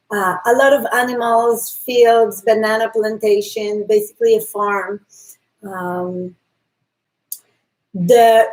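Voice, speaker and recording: A woman from Israel co-hosting a casual talk show, speaking with pauses and fillers. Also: medium pitch, a little mellow, rough recording. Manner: slow, hesitant, many fillers. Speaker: podcast co-host. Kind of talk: casual conversation.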